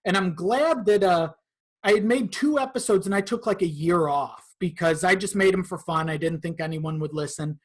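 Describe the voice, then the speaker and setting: Higher-voiced man chatting casually. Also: moderate pace. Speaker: podcast guest, audio drama creator. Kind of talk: casual chat.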